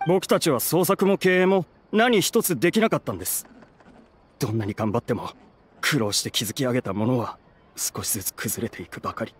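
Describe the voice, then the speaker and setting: Man acting downcast, polite young man, animated intonation. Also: medium pitch, a little fast, slight background noise, close to an everyday voice. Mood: sorrowful. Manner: earnest, soft-mannered, deferential. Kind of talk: Japanese game voice acting.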